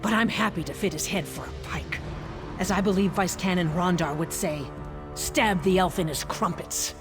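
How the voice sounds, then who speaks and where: A woman playing an aging lizardfolk officer in a fantasy game, solemn and formal with dry wit, speaking in measured phrases. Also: lowish voice, music in the background, natural voice. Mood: grimly wry. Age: older. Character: aging female lizardfolk officer, solemn, reverent, wryly joking. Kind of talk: fantasy game character acting.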